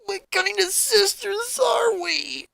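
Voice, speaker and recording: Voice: very high-pitched, expressive, takes it slowly, gravelly, pushed and bright, no echo, voice pushed up, exaggerated voice. A story reader voicing a passionate, emotional teenage rocker girl with emphatic, wounded pleading. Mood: anguished.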